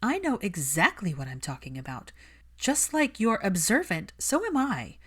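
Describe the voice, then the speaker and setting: A woman's voice: wildly swooping pitch, moderate pace, breathy edge. A woman voicing a furious, outspoken young woman who argues insistently and indignantly in an audiobook-style story. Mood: angry, distressed. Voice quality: shrill, screeching.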